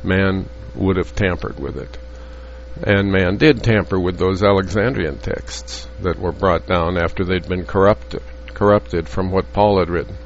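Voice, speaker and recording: A man teaching from the Bible in an earnest, halting way, with frequent restarts and fillers. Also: low voice, gravelly. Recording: dull lo-fi audio with faint hum.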